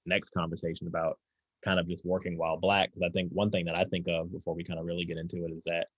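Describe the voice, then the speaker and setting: A man hosting a podcast, quickly setting up the next topic and passing it to a co-host. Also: low-pitched, flat delivery, dry recording. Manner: quick, hands topics off to others. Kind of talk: moderating podcast discussion. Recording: dull, dry sound.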